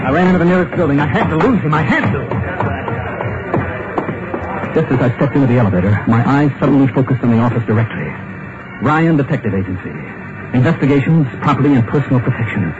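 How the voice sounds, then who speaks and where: A man's voice: medium pitch, animated, fast, long pauses, natural voice. A man playing a frightened husband who narrates his own story in an old radio drama, tense and urgent. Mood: tense narration. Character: frightened husband convinced he'll be murdered. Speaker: narrator and lead character. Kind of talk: 1950s radio drama acting. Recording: dull lo-fi old radio recording with loud music.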